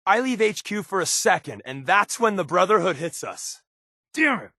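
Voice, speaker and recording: A man's voice: high voice, very animated, normal speed, bright, forceful tone, no room sound, clean studio sound, exaggerated voice. A man playing a sly, wry undercover resistance agent in a video game, talking in a casual, glib, sardonic way. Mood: alarmed. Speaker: video game companion character. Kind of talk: scripted game dialogue, conversational.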